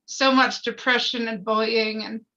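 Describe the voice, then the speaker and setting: A woman's voice: fairly high pitch, steady tone, unhurried, pushed and bright. A woman in casual discussion, speaking candidly and emphatically about things in a personal way, over dull lo-fi audio.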